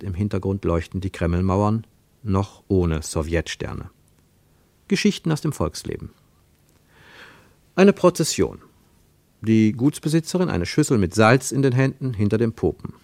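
A man's voice: fairly low pitch, big pitch swings, unhurried, darkish tone, no echo. A man reading scripted literary narration for a radio play, with pointed, declamatory phrasing.

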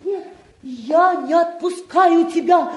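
An anxious middle-aged mother in an old Soviet radio play. Her voice is very high, and she laments and implores tearfully, clinging and overprotective. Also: slow. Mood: tearful pleading. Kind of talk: mid-century Soviet radio drama acting.

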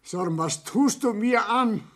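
A man's voice: sits high, slow. An actor playing a domineering, hot-tempered old Bavarian father and businessman in a radio play, blustering and commanding with a Bavarian accent. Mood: pleading, pressuring. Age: older. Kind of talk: German radio play acting.

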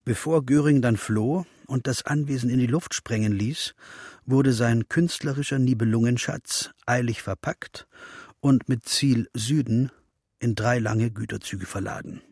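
A man playing a sober, sardonic chronicler, narrating in a measured, precise documentary style for a radio drama. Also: medium pitch, a little mellow, husky, no echo, studio quality. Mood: grave.

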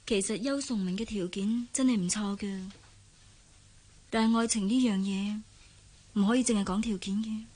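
A woman's voice: medium pitch, steady tone, normal speed, pauses often, warm tone, slightly rough, close to an everyday voice. An actress playing a coy, teasing young woman in love in a Hong Kong Cantonese radio drama. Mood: sincere.

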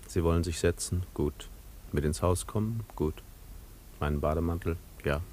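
A man's voice: deep voice, normal speed, darkish tone, natural voice. An actor in a German radio play as a wary, reclusive man living alone by the sea, speaking curtly and formally. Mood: curt, resigned. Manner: curt, formal, guarded.

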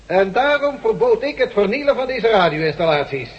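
A man playing an earnest turncoat who justifies himself haltingly in a science-fiction radio drama. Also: sits high, sing-song, a little hiss or hum. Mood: earnest, hesitant. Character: male turncoat former captive, earnest, pleading, self-justifying. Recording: muffled old radio drama recording.

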